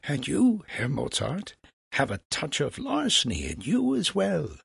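A man's voice: on the high side, huge pitch swings, unhurried, creaky, a bit bright, slightly rough, no echo, pitched above the performer's own voice, exaggerated voice. A man voicing a wily old philosopher in a storybook, courteous and theatrically coy. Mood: sly. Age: older. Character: wily old philosopher, flattering and feigning wistfulness.

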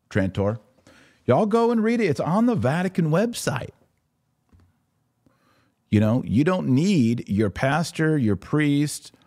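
A man's voice: mid-range voice, darkish tone. A man hosting a religious podcast, giving opinionated, emphatic commentary and answering viewer comments, often repeating phrases to drive a point home.

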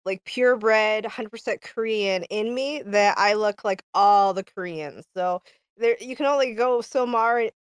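Woman, clear smooth voice, unhurried halting casual talk, dry recording. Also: mid-range voice, dry recording. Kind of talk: casual chat.

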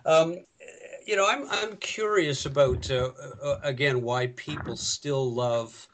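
A man's voice: medium pitch, slow, fairly bright tone, slight background noise, rough recording. A man taking part in a casual panel discussion and putting questions to the others. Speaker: panel participant asking questions.

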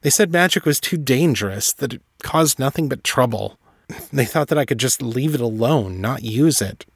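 A man voicing a reserved young male mage who speaks in a measured, subdued way. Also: mid-range voice, animated, gravelly, husky, no room sound, studio quality.

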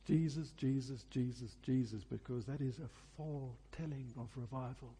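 Bright, husky-voiced male preacher. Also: mid-range voice. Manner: emphatic, deliberate phrasing with repetition for effect. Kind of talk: sermon.

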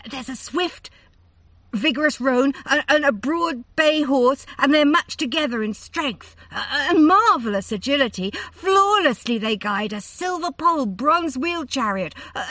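A woman voicing an awestruck young princess who gushes and stammers in a high, excited voice. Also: moderate pace, dry recording, distant mic.

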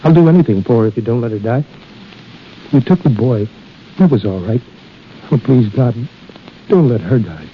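An actor playing an anxious, devoted young soldier husband in a 1930s radio drama, speaking with earnest urgency. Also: male voice, mid-range voice, expressive, normal speed, pauses often, a little hiss or hum, natural voice. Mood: desperate pleading. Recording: muffled 1930s radio recording with music.

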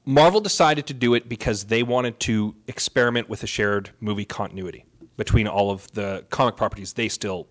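A man's voice: fairly low pitch, brisk. A man co-hosting a podcast in casual chat, making his points emphatically and opinionatedly, often repeating phrases for weight. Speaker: podcast co-host. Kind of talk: casual chat about films and comics.

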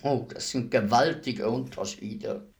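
An actor playing a weary old executioner in a radio play, speaking in a deliberate, ruminative manner with heavy pauses. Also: male voice, mid-range voice, gravelly. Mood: humble, pensive. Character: weary old male executioner, fifty years imprisoned, brooding, humble.

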